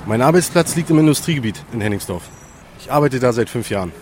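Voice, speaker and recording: A man's voice: medium pitch, expressive, quick pace, slight background noise. A man in his thirties from Berlin, answering street interview questions in a matter-of-fact, dry way. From Berlin, Germany. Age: 30s. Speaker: passer-by interviewee.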